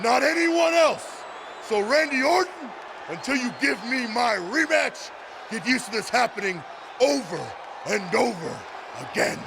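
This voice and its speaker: A man's voice: very high-pitched, expressive, a little slow, crowd noise, heavily acted. A man playing a furious, threatening male wrestling heavyweight in a video game, speaking in commanding, emphatic promo style. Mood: furious, demanding. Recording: clear background noise.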